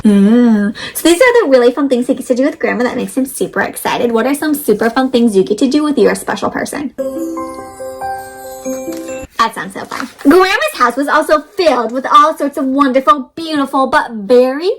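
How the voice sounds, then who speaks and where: A woman reads a picture book aloud to young children in a high, very lively voice. She is expressive and exaggerated, addressing the listeners directly with questions. Music plays along with her voice. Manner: animated, expressive, asks listeners questions with pauses. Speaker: host of children's read-aloud.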